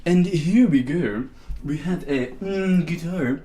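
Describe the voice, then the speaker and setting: A man's voice: on the high side, slow, dark tone. A man hosting a casual livestream, chatting in a rambling, self-deprecating way with plenty of fillers. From Australia. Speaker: livestream host. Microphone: streaming microphone. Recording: echoey room.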